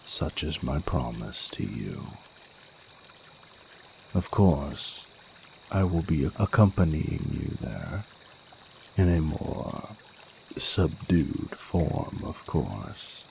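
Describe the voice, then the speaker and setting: A man playing an ancient dragon king in a romantic audio roleplay, tender and flirtatious, with formal, courtly phrasing and a gravelly voice. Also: a bass voice, big pitch swings, extremely slow, pauses often, darkish tone, full character voice. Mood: resolute, devoted. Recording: noticeable noise, running water sounds.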